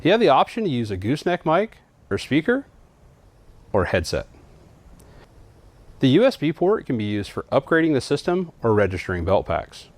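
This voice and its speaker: A man's voice: mid-range voice, very animated, normal speed, pauses often, dry recording. Man narrating a product walkthrough, dry studio sound. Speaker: product trainer.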